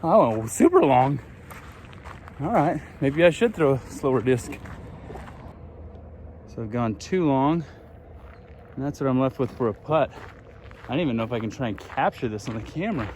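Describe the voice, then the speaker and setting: A man vlogging outdoors on a desert course, thinking aloud in a relaxed way as he walks between shots. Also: medium pitch, normal speed, pauses often, slight background noise. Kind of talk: casual walk-and-talk commentary. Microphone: handheld phone and gimbal camera.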